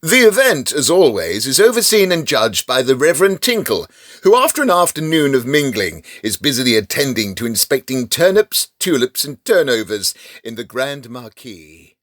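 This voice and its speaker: A man's voice: mid-range voice, very animated, moderate pace, a bit bright, dry recording, clean studio sound. A man with an English accent delivering arch, theatrical scripted narration for a comic radio drama.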